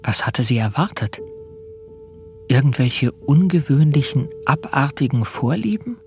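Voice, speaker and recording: An actor playing a gentle, ashamed middle-aged husband in a German radio drama, speaking with polite restraint. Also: male voice, mid-range voice, very animated, a little slow. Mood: brooding. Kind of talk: German radio drama, introspective narration and dialogue. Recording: music behind the voice.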